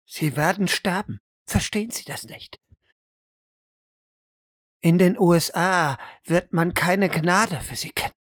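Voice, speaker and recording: A woman's voice: on the low side, slow, pauses often, no echo, clean studio sound. A woman acting in an audio drama as a stern, insistent interrogator who speaks formally and presses hard. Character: stern, insistent female interrogator probing a prisoner's mind.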